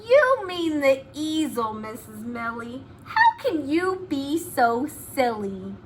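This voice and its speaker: Woman as high, playfully chiding schoolchildren. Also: big pitch swings, slow, noisy background, full character voice. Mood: playful correcting. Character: amused young schoolchildren correcting their silly teacher.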